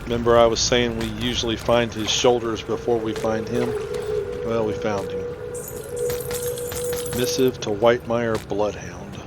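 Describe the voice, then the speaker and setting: Male gamer, lowish voice, offhand playthrough narration, noticeable noise behind. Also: level tone, a little slow, long pauses. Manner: informal, thinking aloud. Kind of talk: casual gameplay commentary.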